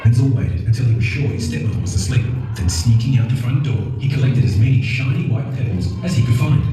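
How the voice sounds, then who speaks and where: A man reading a scripted children's fairytale as the storyteller. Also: mid-range voice. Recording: very echoey, distant playback, music behind. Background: music.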